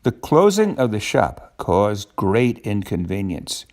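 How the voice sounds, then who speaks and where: An older man with a gravelly voice reads a children's storybook aloud in an expressive, unhurried way. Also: fairly low pitch. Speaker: storybook narrator.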